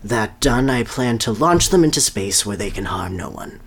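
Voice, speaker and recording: Earnest scientist doctor, acted by a podcast reader, boastful, expository, lively pitch swings, storybook reading. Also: normal speed, slight background noise, lightly acted. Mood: earnest, grave. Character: earnest scientist doctor explaining his grand scheme.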